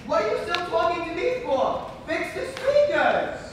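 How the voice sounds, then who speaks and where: Echoey far-off hall, very high voice, actress as querulous elderly wife, domineering, stage comedy. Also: female voice, sing-song, measured pace, exaggerated voice. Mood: irritated, nagging. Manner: scolding, querulous, emphatic. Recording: echoey hall, far mic, faint noise.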